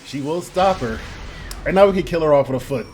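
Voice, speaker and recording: A man's voice: a little high, lively intonation, a little fast, a little mellow. A man giving casual, rambling play-by-play of a video game with playful slang. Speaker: gaming video host. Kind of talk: casual gameplay commentary. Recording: game music behind voice. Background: game music.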